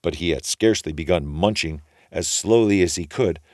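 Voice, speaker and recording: A man's voice: low voice, moderate pace, a little mellow, no echo, clean studio sound. A man narrating a children's fairy tale as a storyteller, in a folksy, colloquial manner.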